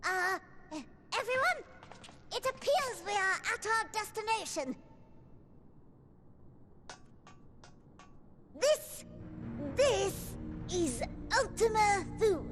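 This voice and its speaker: An actress playing a small, upbeat woman scholar who directs her companions, with a bright, chirpy delivery. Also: very high voice, expressive, slow, faint music behind, full character voice. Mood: awed. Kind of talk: game cutscene voice acting.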